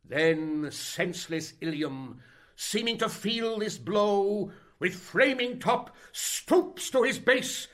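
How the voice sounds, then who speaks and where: A man playing a travelling stage actor, reciting a tragic war speech in Shakespearean verse with solemn fervour and dramatic, measured declamation. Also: high voice, animated, fairly bright tone, full character voice. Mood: hushed suspense.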